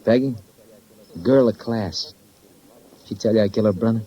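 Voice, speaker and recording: A man's voice: fairly low pitch, normal speed, close to an everyday voice. An actor playing a sardonic, embittered young man with an American accent, clipped and slangy, in 1950s radio crime drama style. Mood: bitter, sardonic. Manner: clipped, sarcastic, slangy wisecracks. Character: bitter, sardonic young man, failed lawyer, tough talker. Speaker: actor playing a murder suspect. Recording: muffled vintage 1950s radio recording.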